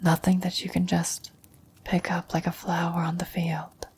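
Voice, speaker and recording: A woman voicing a vampire's dying former lover in an ASMR roleplay, a wistful, knowing woman who whispers in a breathy, solemn, deliberate way. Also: on the low side, monotone, a little mellow, slight background noise, natural voice. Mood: grave warning.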